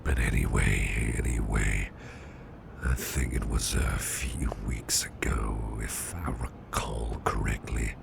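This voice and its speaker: A man playing a gruff but caring, protective boss in an ASMR roleplay, speaking in a gravelly half-whisper and rambling softly and hesitantly to the listener. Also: rumbling low, full character voice. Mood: musing, conspiratorial. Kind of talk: ASMR roleplay, soft intimate storytelling to the listener. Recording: clearly noisy background hiss or ambience.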